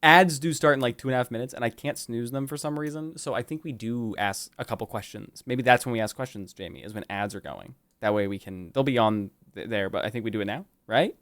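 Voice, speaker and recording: A man's voice: medium pitch, fast talker, hardly any pauses, a bit bright, dry recording. A man co-hosting a livestream, chatting casually and reading out messages with playful teasing and some swearing. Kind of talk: casual joking banter on a livestream.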